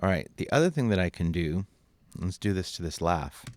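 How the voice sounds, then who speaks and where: A man narrating a software tutorial as an instructor, informal and thinking aloud as he goes. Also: low voice, very animated, a little fast, very noisy. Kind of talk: casual screen-recorded tutorial narration.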